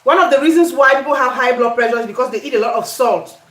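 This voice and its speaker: A woman with a Cameroonian accent and a high voice talks in an echoey kitchen. She is hosting a casual cooking chat, speaking to viewers in an animated, conversational way and often repeating herself for emphasis. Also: quick pace. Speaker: cooking vlogger. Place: indoor home kitchen. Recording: echoey room.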